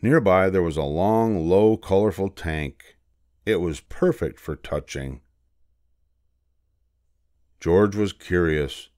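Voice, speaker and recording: An older man reading a children's storybook aloud as narrator, in a measured, expressive way. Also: sits low, dry recording, clean studio sound.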